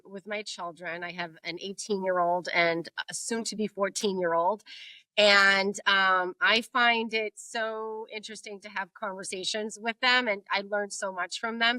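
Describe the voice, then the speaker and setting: A woman's voice: medium pitch, sharp tone, no echo, poor audio. A woman in her forties, a native Portuguese speaker, answering interview questions in a casual, candid way and laughing often. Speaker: guest, HR executive.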